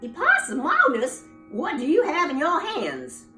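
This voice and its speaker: Woman playing a loud, exasperated scolding storybook mama. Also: sits high, huge pitch swings, echoey room, far from the mic, soft background music, pitched above the performer's own voice, exaggerated voice. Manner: exclaiming, drawn-out dramatic scolding with emphatic repetition. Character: exasperated scolding mother opossum, loud and dramatic.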